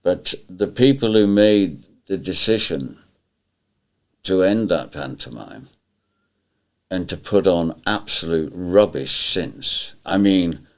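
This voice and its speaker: A man's voice: deep voice, animated, slow. A man, a former pantomime performer, speaking bluntly and indignantly about how the show ended. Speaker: former panto cast member. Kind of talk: interview.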